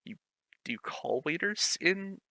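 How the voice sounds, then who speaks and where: A man's voice: high voice, animated, unhurried, vocal fry, a crowd in the background. A man reading a clumsy fan story aloud, mocking it with sarcastic, swearing commentary. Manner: sarcastic, swears, laughs at the text.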